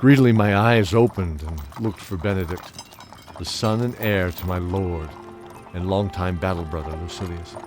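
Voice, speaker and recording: An actor playing a weary, wistful old knight who tells his tale in measured, theatrical fantasy audio drama. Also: deep voice, close to an everyday voice. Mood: wistful, earnest. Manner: measured, theatrical, chuckling reminiscence. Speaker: narrator and lead character. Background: music under the voice.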